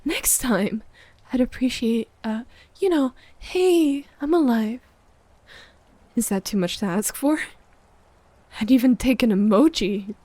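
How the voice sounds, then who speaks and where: A woman's voice: a little high, takes it slowly, pauses often, mellow, no echo. A woman playing a jealous, needy, wounded girlfriend in an ASMR roleplay audio drama, sighing and often dropping toward a whisper. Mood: agitated, accusing.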